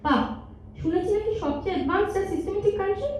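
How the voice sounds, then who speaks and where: Very high, echoey young girlfriend acted by a woman. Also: very fast, a little mellow, slight background noise. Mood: polite, embarrassed. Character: reluctant, polite young girlfriend, mildly exasperated. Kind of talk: amateur staged audio drama acting. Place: multipurpose hall stage. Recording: distant, echoey hall recording, muffled.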